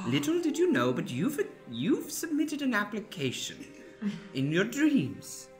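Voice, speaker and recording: A woman voicing a boastful, eccentric magic academy professor in an improvised comedy radio play, theatrical and halting in delivery. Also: a little high, huge pitch swings, faint music behind. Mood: mysterious, smug.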